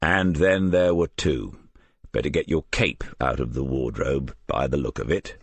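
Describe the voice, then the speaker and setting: Seasoned, reflective detective, played by a male narrator, very deep voice, dry understated irony, for a crime audiobook. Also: a little slow, slightly rough, no room sound, exaggerated voice. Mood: wry, musing.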